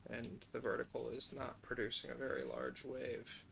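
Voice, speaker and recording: A man's voice: medium pitch, little pitch movement, moderate pace, creaky, over a noisy crowd. A man hosting a livestream, giving casual, wry commentary as he works, with an old radio show playing behind him. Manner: dry, wry humor. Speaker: livestream host. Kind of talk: casual commentary while crafting. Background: old radio drama playing.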